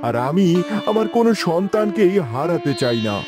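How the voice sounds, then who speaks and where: A man's voice: high voice, big pitch swings, normal speed, music in the background. A man playing a brooding, protective king in a dramatic, commanding cartoon style. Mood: anguished, resolute. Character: brooding, protective king haunted by losing his daughter.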